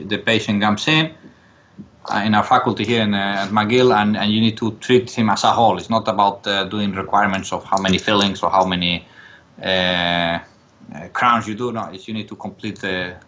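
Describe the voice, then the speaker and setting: Halting man, bright voice, muffled audio. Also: lowish voice, steady tone, a little hiss or hum. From born in Spain, later lived in Jordan. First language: Spanish. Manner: halting, searching for words. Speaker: interview guest, dental professor. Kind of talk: conversational interview answers.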